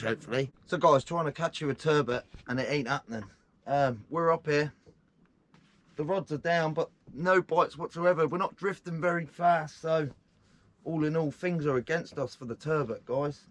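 A man, a fishing vlogger, chatting casually and matily to his viewers in slangy everyday speech, recorded outdoors on a small boat. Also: on the high side. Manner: chatty, matey, slangy, often addressing viewers as guys. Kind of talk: casual vlog commentary to viewers. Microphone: built-in camera or phone mic, few feet away. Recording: outdoor action camera and phone, noisy. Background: faint boat and outdoor noise.

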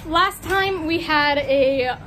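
A young woman chatting casually and excitably to a handheld phone while out as a cosplay vlogger. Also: high-pitched, slow pace, a crowd in the background. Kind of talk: casual chat to camera. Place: shopping mall and stores, outdoors at first. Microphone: handheld phone at arm's length.

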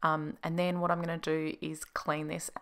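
Low, creaky-voiced Australian woman. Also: level tone, normal speed, fairly bright tone. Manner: chatty, explanatory. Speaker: home organisation vlogger. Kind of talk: casual cleaning vlog narration.

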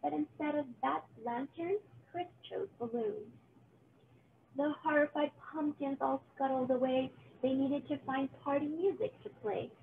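A woman reading a children's storybook aloud in an expressive way, recorded on a computer's webcam microphone. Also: high-pitched, measured pace, vocal fry. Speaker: storybook reader, teacher. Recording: thin phone-like audio, faint noise.

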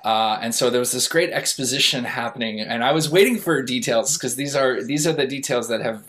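A man's voice: mid-range voice, brisk, a bit bright. Emphatic, reflective man on a panel. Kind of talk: reflective commentary in a panel discussion. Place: indoor room, on a video call. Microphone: video call microphone.

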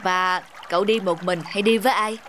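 An actor playing a weary, wise old male boatman in an animated fable, speaking in a grave, measured, admonishing way. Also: medium pitch, a little hiss or hum, natural voice. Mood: cautious. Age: older. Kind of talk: animated moral fable dubbing. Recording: loud music behind the voice.

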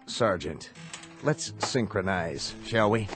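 A man's voice: on the low side, animated, slow, fairly bright tone. A man acting in a radio drama as an impatient, skeptical commanding officer with a dry, authoritative, sarcastic delivery. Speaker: actor. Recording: radio drama with music underneath.

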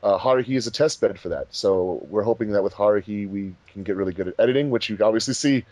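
A man's voice: fairly low pitch, fast, a little mellow, no echo. A man hosting a casual group commentary, chatting informally. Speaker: host of a fan dub commentary track.

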